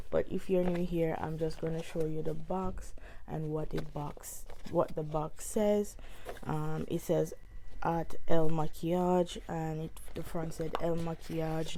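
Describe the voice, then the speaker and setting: A young woman with a hoarse, stuffy voice from a cold, unboxing makeup in a rambling vlog with many ums and restarts. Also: sits low, mellow, a little hiss or hum, low-quality recording. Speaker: beauty vlogger.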